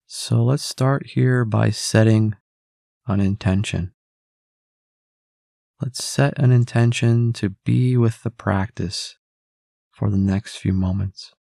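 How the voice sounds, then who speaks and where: A man leading a guided meditation in a calm, measured, instructional way. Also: fairly low pitch, gravelly, no echo, clean studio sound.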